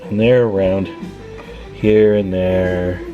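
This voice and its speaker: Low-voiced man musing over guitar music. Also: steady tone, slow, phone-quality sound. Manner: musing, thinking aloud, folksy. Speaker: hobbyist presenter. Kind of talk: casual hands-on repair walkthrough.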